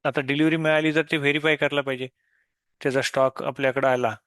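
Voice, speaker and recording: A man explains software step by step in an unscripted tutorial, often posing questions and answering them himself. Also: mid-range voice, flat delivery, quick pace, clean tone, no room sound, clean studio sound. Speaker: software demo presenter.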